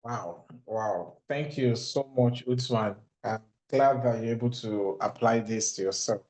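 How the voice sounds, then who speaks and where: A man's voice: medium pitch, a little slow, darkish tone, poor audio. A man leading an online mentorship session, teaching in an emphatic, motivational way and often repeating his points. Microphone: online call audio.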